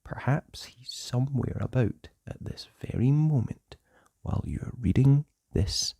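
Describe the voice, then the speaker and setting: A man narrating a children's storybook aloud in a playful way, with dramatic pauses and emphasis. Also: medium pitch, expressive, creaky, dark tone, husky, dry recording, whispered, studio quality.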